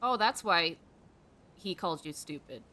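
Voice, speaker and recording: A woman streaming a video game, chatting casually with halting, muttered asides and reactions. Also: lively intonation, pushed and bright. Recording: background noise with low rumble.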